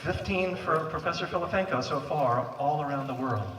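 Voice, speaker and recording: A mid-pitched man reads a measured, dryly witty introduction. He is caught from a distance with a roomy echo and a long tail on every phrase. Steady background ambience sits behind him. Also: noisy background. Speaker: event host introducing the speaker. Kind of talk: scripted formal introduction. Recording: echoey, distant phone recording.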